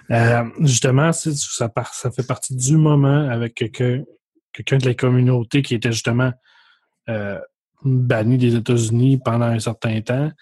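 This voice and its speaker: A man, hosting a podcast and asking loose, relaxed questions. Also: mid-range voice, normal speed, slightly rough, no room sound. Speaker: podcast host. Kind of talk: casual interview talk.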